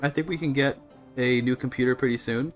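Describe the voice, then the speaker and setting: A man's voice: mid-range voice, steady tone, fairly quick, soft background music. A Canadian man chatting casually to his livestream audience, rambling and apologetic as he goes. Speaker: live streamer. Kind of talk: casual gaming livestream chat.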